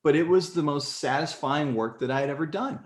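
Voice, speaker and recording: Animated man in his forties, roomy echo. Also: fairly quick. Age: 40s. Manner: animated, jumps up in pitch when excited. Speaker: podcast guest. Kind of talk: casual interview storytelling. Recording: echoey room.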